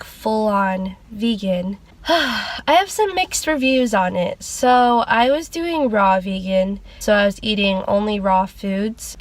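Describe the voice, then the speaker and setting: A young woman vlogger with a congested, nasal voice from a cold, chatting casually at a loose, rambling pace with lots of fillers. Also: medium pitch. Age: young adult. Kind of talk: casual sit-down chat.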